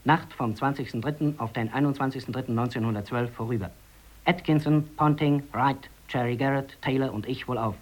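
An actor playing a rescue expedition member reading a formal, telegraphic status report in a 1950s German radio drama. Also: male voice, medium pitch, fairly quick, close to an everyday voice. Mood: factual, confident. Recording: dull, band-limited old radio sound.

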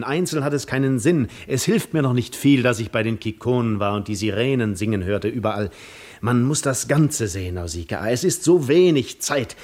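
An actor in a 1950s German radio play monologue, playing a restless, yearning seafarer lost in reverie, with lyrical, dramatic delivery that moves between hushed and fervent. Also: male voice, mid-range voice, lightly acted.

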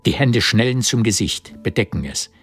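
A man narrating a radio drama in a measured, terse literary style. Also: on the low side, expressive, fairly bright tone, slightly rough, soft background music. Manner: measured, terse present-tense storytelling.

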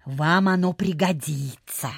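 A smug provincial lady in old radio-theatre style. Her voice is husky and her delivery leisurely, confidential and knowing, with quaint folksy turns of phrase. The recording is dry. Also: low-pitched, slow pace, no echo, heavily acted. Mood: confiding, self-important. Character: smug, confiding lady neighbour peddling folk cures. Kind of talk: Soviet radio theatre acting.

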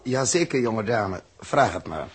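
An actor playing a genial, hearty spaceship captain with an informal manner in a 1960s radio play. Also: male voice, on the low side, expressive, measured pace, breathy edge, heavily acted. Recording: noisy early 1960s radio recording.

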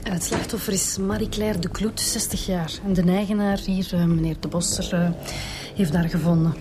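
An actress playing a matter-of-fact Flemish detective, methodical with small hesitations, in a naturalistic radio drama. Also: female voice, fairly low pitch, steady tone, normal speed, background noise, natural voice. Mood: businesslike. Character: matter-of-fact female detective reporting case details.

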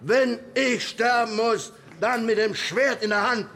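An actor playing a belligerent robber turned rebel, blunt and coarse, in a German historical radio drama. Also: male voice, very high-pitched, measured pace, a bit bright, exaggerated voice. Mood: grudging, defiant. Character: hot-headed, belligerent male robber-rebel spoiling for a fight.

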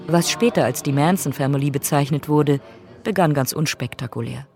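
A woman narrates in a scripted documentary style. Guitar music runs clearly behind her voice as she speaks. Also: low-pitched, moderate pace, a little mellow.

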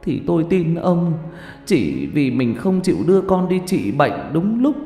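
A man's voice: on the high side, sing-song, unhurried, mellow, voice pushed up. A man voicing a grieving middle-aged mother who pleads and laments, heard with echoey reverb and music behind. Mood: bitter.

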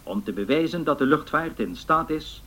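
Formal, husky 1930s radio newsreader man. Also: fairly high pitch, animated, a bit bright. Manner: formal, measured delivery. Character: formal 1930s male newsreader announcing an air race. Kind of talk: 1930s radio news bulletin reading.

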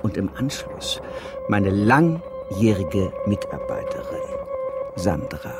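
A man's voice: lowish voice, sing-song, slow. A man acting a glib, self-aggrandising agency boss in a satirical radio drama, talking in grand corporate rhetoric. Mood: slick, calculating. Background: music.

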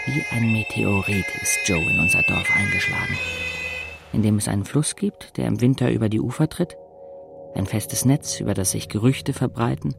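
A man's voice: lowish voice, vocal fry. An actor playing a wry, observant teenage boy who narrates an audio drama in a dry, laconic way.

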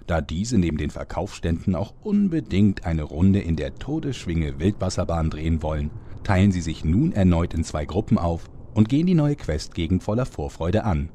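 A man's voice: sits low, very animated, moderate pace, mellow, a little hiss or hum. A man narrating a fantasy parody audio drama as a scripted storyteller. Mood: storytelling.

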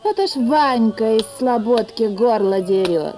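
Haughty, high-voiced princess. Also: female voice, animated, measured pace, a little mellow, faint music behind. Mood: scornful. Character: haughty, scornful young tsar's daughter.

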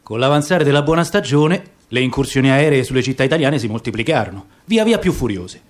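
A man's voice: medium pitch, fast. A man giving a dramatic, theatrical reading of literary prose as the narrator of a radio theatre piece. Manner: expressive, theatrical storytelling.

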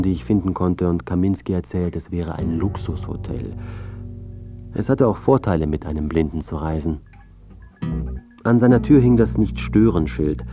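Guy acting cocky reporter, short-tempered and curt, radio drama, fairly low voice, slightly dull sound. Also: lightly acted. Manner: curt, irritable. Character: self-important, impatient male journalist, easily exasperated.

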